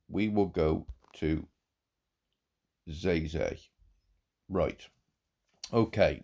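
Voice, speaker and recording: A man, croaky from a cold, giving halting, warmly praising commentary on a farm game. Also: low voice. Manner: halting, repeats words, warm praise. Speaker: farm game channel host. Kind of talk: casual gameplay commentary.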